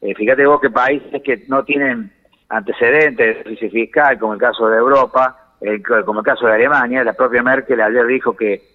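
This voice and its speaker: An Argentine man giving measured economic explanations in a phone interview, pausing to search for words. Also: mid-range voice, steady tone. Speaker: economics commentator, phone guest. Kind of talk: explanatory radio-style interview answers. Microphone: telephone. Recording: reverberant phone call feed.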